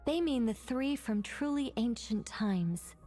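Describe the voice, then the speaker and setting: A woman voicing a calm, knowledgeable young female guide in a video game, composed and explanatory. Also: on the high side, takes it slowly. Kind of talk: video game character acting. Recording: game music behind.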